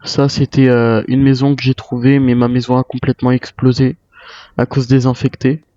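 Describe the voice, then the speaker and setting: A man's voice: medium pitch, little pitch movement, moderate pace, mellow. A man improvising a survivor roleplay in a game, hesitant and musing aloud to himself as a weary retired soldier. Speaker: gamer role-playing a survival game. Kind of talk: improvised in-character roleplay monologue. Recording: dull, roomy microphone sound.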